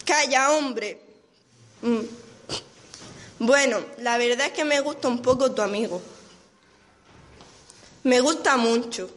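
A woman's voice: fairly high pitch, slow, pauses often. A young woman acting a lovestruck, conflicted teenage girl in an amateur radio soap. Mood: confessing, hesitant. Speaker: student radio drama actor. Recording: amateur school radio play recording.

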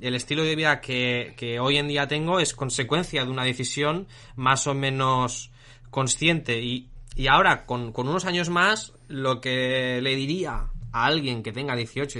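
A man in his late twenties with an accent from Spain, co-hosting a podcast in a relaxed, reflective chat from an attic room, sometimes stumbling over words. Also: medium pitch. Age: 20s. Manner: relaxed, reflective, restarts words mid-sentence. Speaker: podcast co-host. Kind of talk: casual chat.

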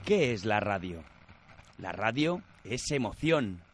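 A man's voice: on the low side, sing-song, slow pace, soft background music. A man reading a scripted radio promo as its announcer.

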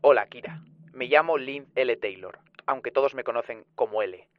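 A man's voice: medium pitch, moderate pace, dry recording, lightly acted. A man playing a resolute detective making a formal televised challenge in a radio drama, firm and declamatory. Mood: defiant, solemn. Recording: dull, lo-fi television broadcast sound.

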